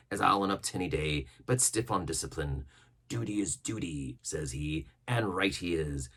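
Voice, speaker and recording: A man's voice: lowish voice, creaky, slightly rough, full character voice. A man voicing a sly, mock-friendly pirate captain with salty dialect, genial and sneering in a storybook reading. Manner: genial but sneering, gracious, persuasive.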